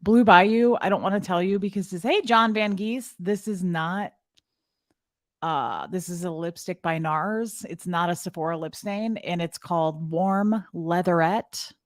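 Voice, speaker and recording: Woman, slightly raspy, playful livestream co-host, dry sound. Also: lowish voice, measured pace, no echo. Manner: playful, teasing, chatty. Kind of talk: casual chat reading out viewer names.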